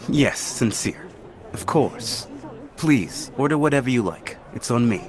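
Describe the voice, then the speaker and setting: An actor playing a courteous, wealthy official in a video game, with a polite and formal manner. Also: male voice, mid-range voice, lively intonation, a little slow, crowd noise, natural voice. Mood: gracious, uneasy. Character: courteous, wealthy male official seeking travel companions. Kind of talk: video game cutscene acting. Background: background music.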